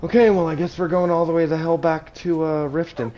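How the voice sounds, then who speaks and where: Calm-voiced streamer, mellow tone. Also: male voice, a little high, brisk, low-quality recording. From Southern California. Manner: chatty, reads chat aloud. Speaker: game streamer. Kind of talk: casual gameplay commentary.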